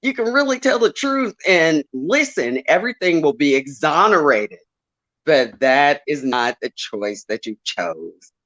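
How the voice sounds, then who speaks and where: A woman's voice: deep voice, very animated, a little slow, fairly bright tone, low-quality recording. A woman with a Southern US accent, calling into a show and chatting emotionally, laughing often. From Southern United States. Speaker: caller. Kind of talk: casual call-in chat.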